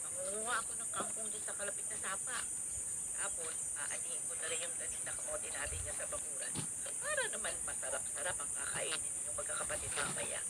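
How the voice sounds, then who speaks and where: An actress playing a poor, loving mother who speaks gently and earnestly in a Filipino radio drama. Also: sits low, fast talker, natural voice. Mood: apologetic, tender. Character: poor, loving, guilt-ridden mother pleading with her son. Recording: very noisy radio drama recording.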